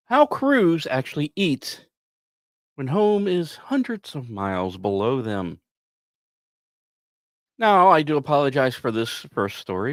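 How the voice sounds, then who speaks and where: A man's voice: fairly high pitch, no echo, clean studio sound. A man hosting a podcast, narrating science news from notes with casual, wry asides. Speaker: podcast host.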